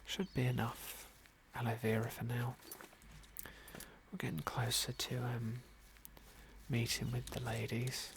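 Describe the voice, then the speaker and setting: A British man narrating an ASMR game playthrough in a gentle, polite, understated manner. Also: medium pitch, little pitch movement, unhurried, slight background noise, poor audio. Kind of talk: whispered ASMR roleplay and commentary.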